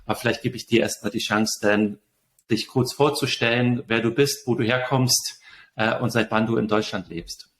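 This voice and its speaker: A man's voice: medium pitch, fairly even intonation, normal speed, fairly bright tone, a little hiss or hum. A man from Germany, moderating a casual podcast interview and introducing topics to his guest.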